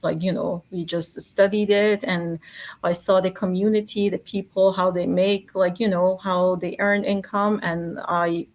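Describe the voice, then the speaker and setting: A woman's voice: on the low side, little pitch movement, measured pace. A woman, telling her story as an interview guest, talking with many fillers and restarts. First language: Farsi. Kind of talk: casual interview.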